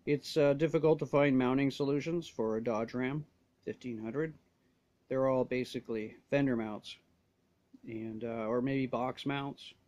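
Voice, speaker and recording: A man's voice: mid-range voice, steady tone, measured pace, darkish tone, no room sound, muffled recording. A man casually demonstrating gear as a reviewer, talking in short, hesitant phrases. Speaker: gear reviewer showing a radio install.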